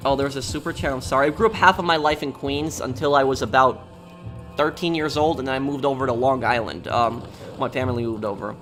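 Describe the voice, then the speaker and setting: A man's voice: mid-range voice, steady tone, a little fast, a bit bright, faint music behind. A man from New York, live-streaming a game and chatting casually with viewers in a rambling, talkative way. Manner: rambling and talkative, with many restarts.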